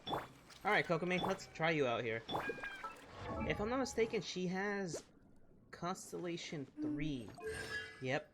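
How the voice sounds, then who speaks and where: A woman's voice: fairly low pitch, lively intonation, measured pace, long pauses. A woman giving casual gameplay commentary, hesitant and self-deprecating as she thinks aloud. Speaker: gamer playing and commenting. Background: game music.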